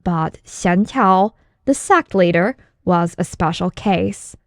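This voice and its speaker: A woman's voice: fairly low pitch, takes it slowly, dry recording, clean studio sound. A woman reading scripted story narration as an audiobook narrator.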